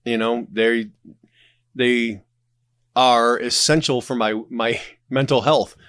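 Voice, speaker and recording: A man's voice: medium pitch, steady tone, slow pace, a bit bright, smooth, no room sound, clean studio sound. A man speaking as a podcast guest, explaining his creative process in casual conversation. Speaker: podcast guest, writer and audio drama creator.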